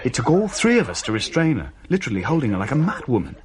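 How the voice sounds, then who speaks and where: Male, radio drama narrator, dry wry storytelling, animated intonation, medium pitch. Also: fast talker, a crowd in the background. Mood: tense, vivid. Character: family man recounting a haunting, wry and vivid.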